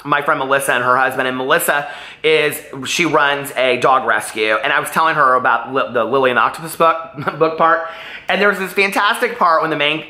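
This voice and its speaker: A man's voice: fairly high pitch, bright, forceful tone, echoey room. A man chatting casually and animatedly as a book vlogger, rambling off on tangents.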